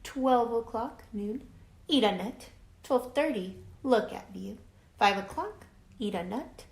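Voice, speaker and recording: A woman reading a children's picture book aloud like a teacher, deliberate and emphatic, drawing out words and adding explanatory asides. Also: on the high side, darkish tone. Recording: echoey room, faint background noise.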